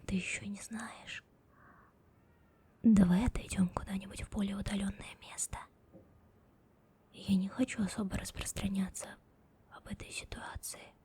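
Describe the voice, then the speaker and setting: A young woman voicing a stern, sharp-tongued female office boss in an ASMR roleplay, whispering softly close to the mic. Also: on the high side, slightly rough, full character voice. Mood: chatty, wry. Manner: scolding and sarcastic to staff.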